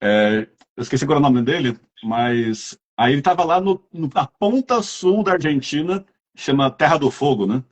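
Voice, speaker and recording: A man's voice: medium pitch, animated, moderate pace. A man with a Brazilian accent, chatting casually as an interviewer and telling rambling anecdotes. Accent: Brazilian Portuguese.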